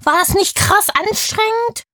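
Very high-pitched chatty little girl. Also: unhurried, bright, forceful tone, no room sound, heavily acted. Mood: curious. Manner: chatty, childlike slangy German. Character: cheeky, curious kindergarten girl named Lotte. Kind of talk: children's audio play interview.

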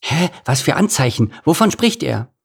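A small, inquisitive ground squirrel from a children's story, acted by a male reader. The voice is lifted high and slightly creaky, full of impatient questions and cheek. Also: sing-song, normal speed, exaggerated voice. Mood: excited.

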